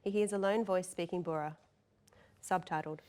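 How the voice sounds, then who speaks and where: Female narrator for a scripted drama read, lower register, delivering scene headings and action lines. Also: fairly even intonation, moderate pace, a little mellow. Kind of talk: scripted narration of stage directions.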